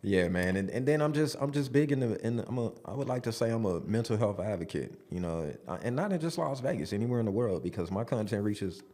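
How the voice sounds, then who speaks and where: Guest, man of forty, very deep voice, candid storyteller, halting delivery. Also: lively intonation, dark tone. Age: 40s. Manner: open, storytelling, halting restarts. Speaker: podcast guest. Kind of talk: casual studio interview. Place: podcast studio.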